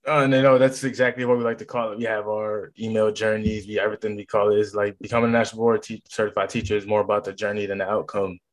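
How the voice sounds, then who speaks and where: Chatty American male host, lowish voice. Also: little pitch movement, quick pace, few pauses. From Massachusetts, United States. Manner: informal, chatty. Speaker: show host. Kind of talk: casual interview chat.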